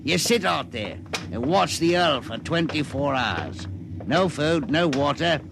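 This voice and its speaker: An actor playing a sly, mock-polite crew crook in a 1950s radio drama. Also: male voice, on the high side, sing-song, a little slow, gravelly, full character voice. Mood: gloating. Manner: wheedling, mock-polite and gloating. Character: sly cockney spacer, oily crook, middle-aged man. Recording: old radio broadcast with loud hiss.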